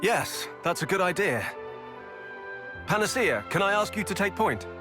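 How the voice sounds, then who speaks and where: An actor playing a composed, courteous leader of a refuge base in a video game. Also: male voice, high-pitched, expressive, normal speed. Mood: welcoming. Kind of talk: video game cutscene acting. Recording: music behind the voice.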